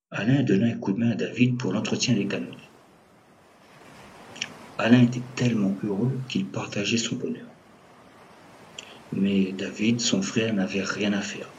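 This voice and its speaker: Low-pitched male storyteller. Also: darkish tone, slight background noise, poor audio. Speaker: narrator. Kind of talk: scripted storytelling narration of audio fiction.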